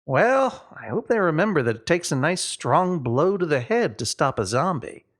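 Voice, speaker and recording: Dad for a storybook, male reader: brisk, unflappable, practical, musing with swoops. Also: a little high, sing-song, pitched above the performer's own voice.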